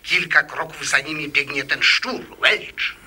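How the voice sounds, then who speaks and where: An uneasy merchant's lookout in a radio drama. His fairly high voice is very sharp and husky, delivered slowly. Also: heavily acted. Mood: uneasy watchfulness. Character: tense, uneasy merchant's accomplice keeping watch. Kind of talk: Polish radio drama acting.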